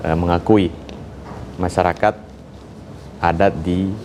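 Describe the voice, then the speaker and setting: Indonesian man, low voice, activist guest, explanatory interview talk, light background noise. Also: steady tone, slow, a little mellow.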